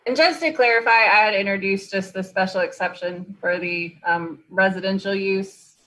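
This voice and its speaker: A woman's voice: mid-range voice, moderate pace, a bit bright, poor audio. A woman on staff at a public meeting, clarifying procedure in a matter-of-fact official way. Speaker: zoning board staff member.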